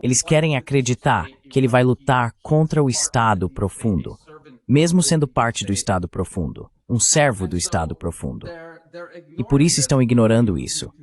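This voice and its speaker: A man's voice: mid-range voice, big pitch swings, moderate pace, darkish tone. A man giving translated voice-over political commentary, opinionated and fond of rhetorical questions.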